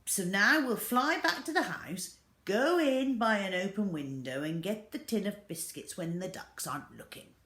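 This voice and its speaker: A woman's voice: mid-range voice, animated, moderate pace, a bit bright, roomy sound, voice pushed up. Woman playing a bossy little storybook dad. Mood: confident. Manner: commanding, emphatic. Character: tiny bossy, can-do father, Mister Gregg.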